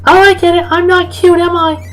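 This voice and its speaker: A woman's voice: extremely high pitch, flat delivery, fairly quick, faint music behind, pitched above the performer's own voice. A woman voicing a naive young girl painter from a cartoon, in a fan fiction reading. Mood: put out.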